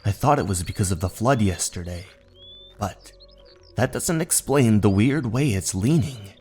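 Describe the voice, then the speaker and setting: Man acting an excitable, bewildered young hiker. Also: on the low side, unhurried. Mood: uneasy, weary. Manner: stammering, exclamatory. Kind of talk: horror manga dub. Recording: music under the voice.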